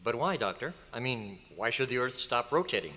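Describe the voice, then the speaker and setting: A man's voice: mid-range voice, very animated, brisk, bright, forceful tone. An actor playing a polite, curious, slightly wry young newspaperman in a live recreation of an old radio drama. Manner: courteous, inquisitive, lightly wry. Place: large hotel meeting room at a convention. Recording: echoey hall, live stage performance.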